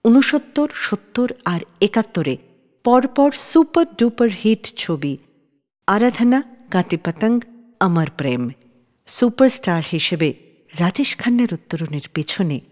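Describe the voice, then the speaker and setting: A woman's voice: medium pitch, very animated, measured pace. A woman telling a scripted story as a radio show host. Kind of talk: scripted radio storytelling narration about film history. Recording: long reverb on the voice.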